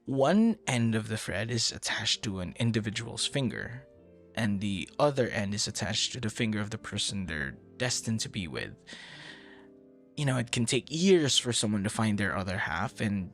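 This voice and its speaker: A man playing a friendly, flustered young romantic in an intimate boyfriend audio roleplay, talking in a hesitant, rambling, conversational way with soft breathy asides. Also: mid-range voice. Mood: earnest, romantic.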